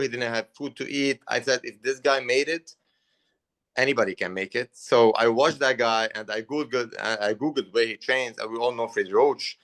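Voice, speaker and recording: A man's voice: mid-range voice, fairly bright tone. Earnest Lebanese-accented young man. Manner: earnest, halting with restarts. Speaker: guest boxer. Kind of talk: interview answers.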